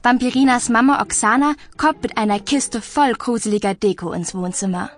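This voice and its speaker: A woman playing a lively, conspiratorial storyteller, narrating expressively for child listeners in an audio drama. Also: on the high side, moderate pace, lightly acted. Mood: storytelling.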